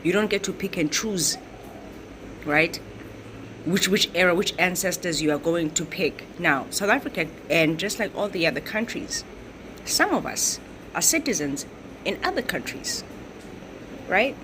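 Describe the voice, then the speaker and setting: A woman's voice: on the low side, expressive, unhurried, long pauses, noisy background. A woman giving commentary in a lecturing way, repeating her points and checking in with "right?". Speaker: social commentator. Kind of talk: explanatory commentary.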